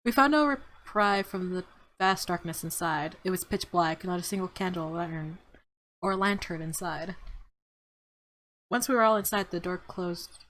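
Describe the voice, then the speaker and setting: A woman's voice: lowish voice, moderate pace. A woman reading a visual novel aloud, switching between story narration and casual, playful commentary. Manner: expressive, playful asides. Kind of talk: dramatic narration mixed with casual playthrough commentary. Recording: game music behind the voice.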